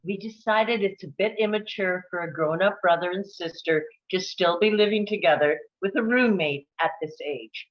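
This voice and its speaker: A woman's voice: on the low side, moderate pace, hardly any pauses, dull audio, natural voice. A woman playing a smug, prim roommate at a comedy screenplay table read. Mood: smug, condescending.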